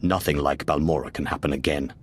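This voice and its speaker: An actor playing a composed dark lord in a video game, speaking with cool, measured, formal authority. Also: male voice, extremely low pitch, steady tone, a little hiss or hum. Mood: stern. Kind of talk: dramatic video game voice acting.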